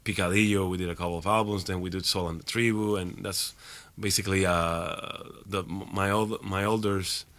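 A man's voice: low voice, fairly even intonation, brisk, no echo. A man from Cuba with a Cuban Spanish accent, a musician guest talking in a radio studio, hesitant and full of fillers. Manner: warm, hesitant, many fillers, laughs easily. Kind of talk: casual interview.

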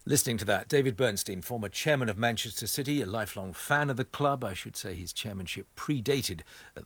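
A man's voice: sits low, vocal fry, husky, dry recording. A man presenting a live radio interview from a studio.

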